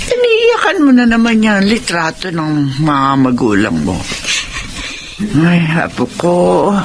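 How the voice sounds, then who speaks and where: Gentle elderly Filipino grandmother, soothing, drawn-out delivery, animated pitch, radio drama. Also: heavily acted. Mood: tender, consoling. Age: older.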